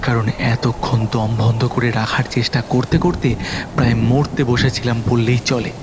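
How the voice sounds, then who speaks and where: A man's voice: medium pitch, flat delivery, moderate pace, background noise. A man narrating a horror audio story in the first person, with a suspenseful, dramatic delivery. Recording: voice over background music.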